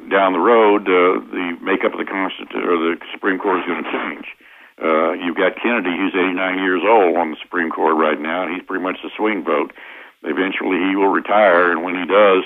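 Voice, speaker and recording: Over a thin, narrow phone connection, an American man speaks in a fairly low voice as an interview guest. He explains political and legal matters with firm opinions, in a halting delivery. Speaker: interview guest, constitutional lawyer. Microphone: telephone. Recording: tinny narrow-band phone line.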